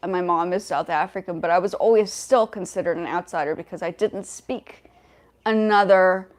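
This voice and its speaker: A young woman hosting a casual livestream, talking in a rambling way with many fillers and restarts. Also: mid-range voice. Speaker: livestream host.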